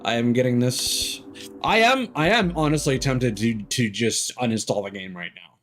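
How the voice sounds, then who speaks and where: A man's voice: medium pitch, expressive, normal speed, a bit bright, a little hiss or hum. A man giving live gameplay commentary, thinking aloud and correcting himself in an exasperated, complaining way. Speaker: game streamer.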